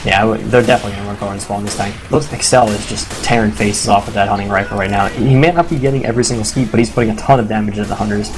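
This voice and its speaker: A hoarse man doing casual live play-by-play commentary on a competitive video game match, excitable and quick to swear. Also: lowish voice, music in the background. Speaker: esports commentator.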